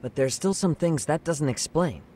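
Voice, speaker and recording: A man's voice: fairly high pitch, lively intonation, moderate pace, dark tone, a little hiss or hum, natural voice. An actor playing an inquisitive, cheeky young man with a casual manner in an English game dub. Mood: curious. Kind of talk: English dub video game drama acting.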